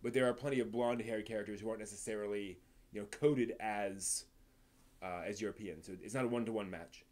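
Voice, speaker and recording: American man chatting casually, halting and rambling. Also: fairly low pitch, fairly even intonation, a little mellow. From East Coast of the United States. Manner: halting, rambling, thinking aloud. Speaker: live stream host. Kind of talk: casual livestream chat, answering viewer questions.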